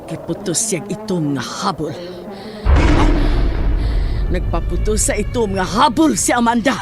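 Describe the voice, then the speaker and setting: A woman's voice: mid-range voice, expressive, measured pace. An actress playing a grave, demanding woman in a horror radio drama, with a Cebuano Filipino accent. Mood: tense. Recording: loud music bed with vehicle sounds.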